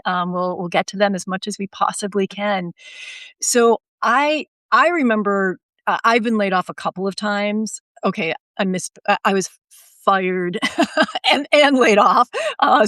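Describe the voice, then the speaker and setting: A woman's voice: medium pitch, a bit bright, dry recording, clean studio sound. A woman hosting a live video interview, speaking candidly and chattily as she frames her questions. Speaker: host. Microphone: video call.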